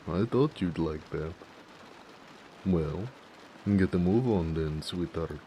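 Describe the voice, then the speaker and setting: A man voicing a gentle, bashful orc blacksmith who speaks hesitantly and affectionately in an intimate romance audio roleplay. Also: low-pitched, slight background noise. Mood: cozy, tender. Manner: soft-hearted, hesitant, with pauses and hedging.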